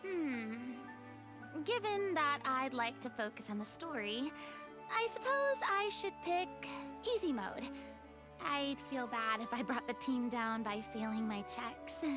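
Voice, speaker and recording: A cautious young noblewoman from a video game, speaking high and hesitantly, a bit prone to stammering. Clear music plays behind the voice. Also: animated, a little slow. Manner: hesitant, worrying, stammers a little.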